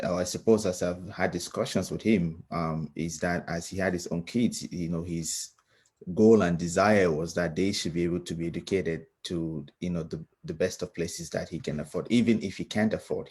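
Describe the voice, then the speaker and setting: Deep, husky man with a Cameroonian accent. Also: darkish tone. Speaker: guest speaker, scientist. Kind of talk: reflective personal talk.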